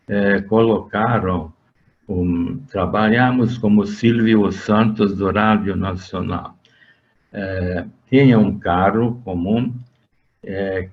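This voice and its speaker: Slow older Hungarian-accented man. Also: lowish voice. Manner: slow and halting, with frequent "eh" fillers and restarts. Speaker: interviewee. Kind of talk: recounting his working life in an interview. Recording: dull lo-fi sound.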